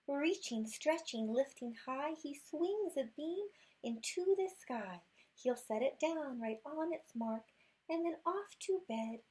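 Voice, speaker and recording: Female storytime reader, fairly high and husky, taking a picture book slowly and expressively, softening into hushed good nights. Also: low-quality recording. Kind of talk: read-aloud of a rhyming children's picture book with asides.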